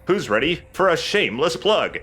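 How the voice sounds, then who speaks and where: A man's voice: a little high, very animated, normal speed, fairly bright tone. A man giving casual, joking, chatty commentary while playing a video game. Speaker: let's play host playing the game.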